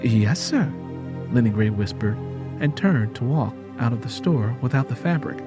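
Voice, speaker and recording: A man's voice: mid-range voice, lively intonation, normal speed, over music. A man narrating a scripted dramatic story as an audiobook.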